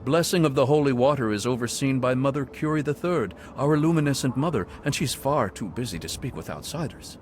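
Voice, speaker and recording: Man voicing a zealous game monk, soft-spoken, pious, sermonizing, mid-range voice. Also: medium pitch, lively intonation, a little fast, few pauses, a little hiss or hum, close to an everyday voice. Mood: serene. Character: serene zealous male cult monk. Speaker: game character. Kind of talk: video game dialogue.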